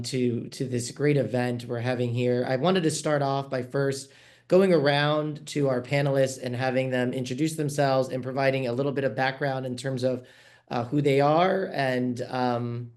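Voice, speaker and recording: A man from New York moderating a virtual panel, friendly and a little halting, with frequent fillers. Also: medium pitch, steady tone, a little mellow. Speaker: panel moderator, managing editor. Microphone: video call.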